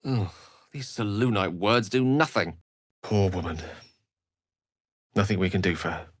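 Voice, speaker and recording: A man's voice: on the low side, a little slow, no room sound, studio quality. An actor playing a wry, scholarly middle-aged wizard in a fantasy video game, articulate and theatrical in his phrasing. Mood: sombre, earnest. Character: eloquent, wry, scholarly male wizard adventurer.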